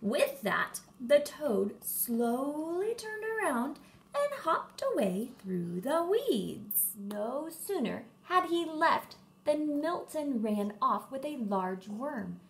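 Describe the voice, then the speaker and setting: A woman, reading a storybook aloud to children with expressive, emphatic phrasing, recorded at home. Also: sits high, slow pace, gravelly. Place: room at home.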